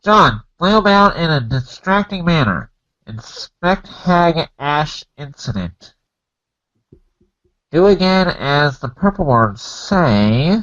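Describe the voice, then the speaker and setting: A man's voice: a little high, sing-song, slow pace, a bit bright, lo-fi sound, heavily acted. A man voicing a haughty, exasperated commander who barks stilted, declamatory orders in a dramatic comic reading. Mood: curt, disdainful.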